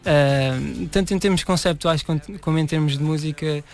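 A man's voice: on the high side, normal speed, faint music behind. A young man from Portugal's Algarve with an Algarve accent, giving casual, hesitant interview answers sprinkled with English words. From Portimão, Algarve, Portugal. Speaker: musician, interview guest.

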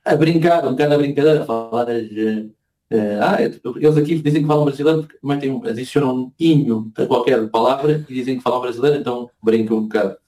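Male, European Portuguese accent. Very quick, relaxed timbre, chatty anecdotal delivery with repeated words. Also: medium pitch. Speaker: interview guest, emigrant living in Argentina. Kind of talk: casual anecdotal chat.

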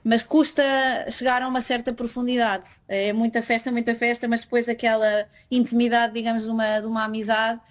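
A woman's voice: on the high side, level tone, a little fast, few pauses. A woman, a guest living in Madrid, reflecting thoughtfully on emigrant life in a conversational interview. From Portugal.

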